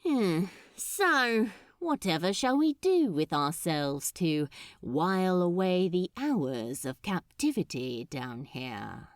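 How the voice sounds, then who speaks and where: A sly, self-assured male demon acted out by a woman narrator in a storybook. He drawls wry, teasing remarks in loose colloquial phrases, with widely swinging pitch. The sound is close and dry. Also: big pitch swings, slow pace, no room sound, exaggerated voice. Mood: coy.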